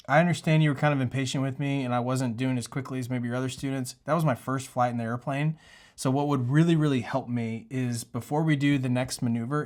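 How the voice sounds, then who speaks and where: A man speaking casually and earnestly, like an instructor hosting a tutorial, with a reassuring, encouraging manner. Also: medium pitch. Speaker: pilot and aviation tutorial host. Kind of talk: casual, encouraging advice talk to camera.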